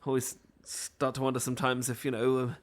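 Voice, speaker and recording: A man's voice: medium pitch, completely flat delivery, a little fast, close to an everyday voice. A man with a British accent playing a nervous, apologetic, bookish romantic lead in an audio roleplay, stammering and rambling hesitantly. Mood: musing, awkward.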